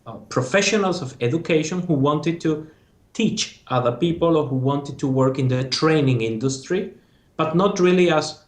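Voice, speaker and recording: A young man with a Spanish accent, talking casually in an interview and pausing often to find his words. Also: a little high. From Spain. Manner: halting, searching for words. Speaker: master's graduate. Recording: echoey room.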